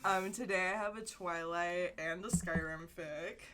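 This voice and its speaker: A woman's voice: on the low side, steady tone, a little slow, background noise. A woman co-hosting a comedy podcast in casual chat, playful and given to short quips. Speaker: podcast co-host.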